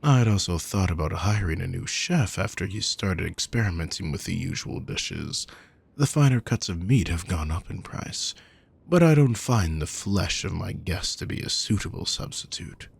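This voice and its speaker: A man playing a possessive, menacing aristocratic villain in a roleplay audio, with a husky, gravelly voice and a condescending, sardonic manner, speaking intimately to the listener. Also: sits low, animated, normal speed, heavily acted. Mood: darkly amused. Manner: smooth-worded, condescending, darkly sardonic.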